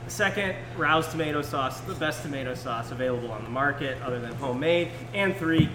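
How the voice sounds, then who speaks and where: A man's voice: on the high side, brisk. A man on a casual group podcast, listing his reasons one by one. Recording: echoey room with loud background noise.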